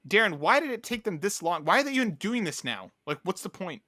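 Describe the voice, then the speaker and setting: A man's voice: sits high, big pitch swings, brisk, sharp tone, no room sound. A man hosting a casual gaming podcast, chatting in a conversational, opinionated way. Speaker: podcast host.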